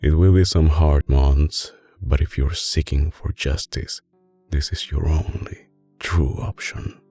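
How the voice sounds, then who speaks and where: A man playing a tender, possessive mafia boss with an Italian accent in an intimate, soft-spoken ASMR roleplay, his voice deep, breathy and husky. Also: fairly even intonation, exaggerated voice. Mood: grave, conspiratorial. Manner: slow, hushed, coaxing, with dramatic pauses. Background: music.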